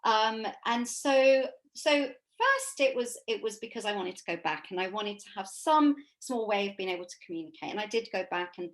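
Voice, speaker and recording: A woman speaking as an interviewed author, giving informal, reflective answers that come out haltingly as she thinks. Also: mid-range voice, animated.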